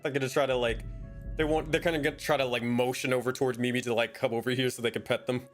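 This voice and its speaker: A man's voice: mid-range voice, little pitch movement, fast talker, faint music behind. A man running a tabletop roleplaying game, improvising narration in a casual way. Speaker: game master.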